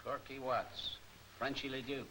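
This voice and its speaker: An actor playing an impatient, commanding police boss who barks orders, in 1940s movie serial style. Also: male voice, medium pitch, level tone, unhurried, rough recording. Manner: sharp, barking orders. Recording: hissy old 1940s film soundtrack.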